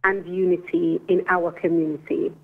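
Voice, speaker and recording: A woman in her forties, born in Jamaica but with an English accent, speaking earnestly and slowly as an interview guest over the phone. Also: lowish voice, little pitch movement. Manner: earnest, careful, deliberately slow delivery. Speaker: guest, restorative justice coordinator. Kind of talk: phone-in interview, explaining a program. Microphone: telephone. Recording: tinny phone line.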